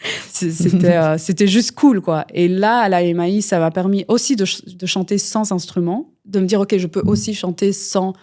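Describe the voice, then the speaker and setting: A woman's voice: medium pitch, steady tone, normal speed, a little mellow. A woman, a guest musician talking in a casual interview, rambling with many fillers and restarts. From Belgium.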